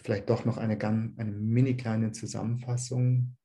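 A man teaching dharma to an online group, calm and searching, with a slight cold. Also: medium pitch, normal speed. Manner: calm, searching, with many "ähm" restarts.

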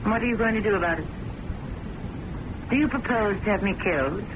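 A woman's voice: mid-range voice, a little fast, natural voice. An actress playing a resolute, accusing woman, dramatic and sarcastic, in vintage radio drama style on a noisy old recording. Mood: indignant, sarcastic. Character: accusing, resolute woman who worships and defies her boss.

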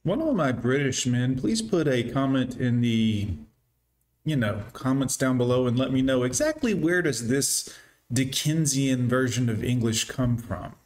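A man's voice: mid-range voice, expressive, unhurried, a little mellow. A man hosting a reaction video, mocking the clips with sarcastic commentary aimed at his viewers. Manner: sarcastic, derisive, speaks to viewers as "guys".

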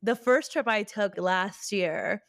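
A woman's voice: mid-range voice, takes it slowly, vocal fry, fairly bright tone, dry recording, studio quality. A young woman from Peru co-hosting a podcast, chatting casually in a bubbly way, with lots of "like" and laughter. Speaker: podcast co-host. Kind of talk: casual chat.

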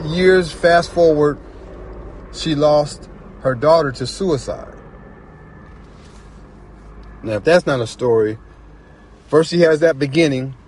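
A man talking casually and reflectively as a guest storyteller, earnest and halting, often repeating phrases for emphasis. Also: fairly high pitch, a little mellow, slight background noise. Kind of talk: casual, reflective personal talk.

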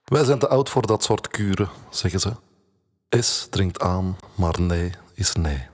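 A man's voice: sits low, lively intonation, measured pace. A Flemish actor playing a brooding, suspicious narrator in his thirties, delivering a scripted audio drama soberly. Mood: quiet, sober.

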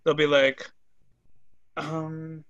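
A man's voice: on the high side, flat delivery, very slow, a bit bright, dull audio. A man co-hosting a podcast, joking around in casual chat with plenty of fillers. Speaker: podcast co-host.

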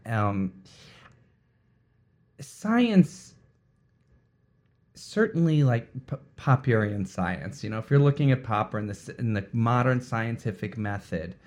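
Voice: medium pitch, lively intonation, takes it slowly, long pauses, a little mellow. An interviewer who asks questions in a curious way and thinks aloud, often restarting words midway. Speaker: interviewer, host. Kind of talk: conversational interview.

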